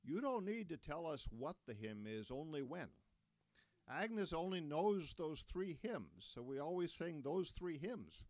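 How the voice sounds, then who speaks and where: A man's voice: on the high side, big pitch swings, unhurried, a little mellow, dry recording, voice pushed up. A man voicing an older rural churchman, sheepish yet stubborn, with folksy small-town talk.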